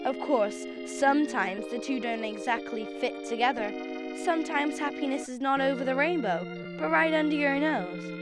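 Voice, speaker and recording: Narrator: young woman, high voice, scripted radio-drama storytelling, prominent music underneath. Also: expressive, moderate pace. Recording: music behind the voice.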